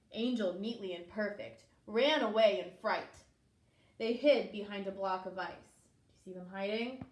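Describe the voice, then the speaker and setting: A woman preschool teacher reading a story aloud to young children, expressively, pausing to explain and ask simple questions. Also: mid-range voice. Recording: echoey room.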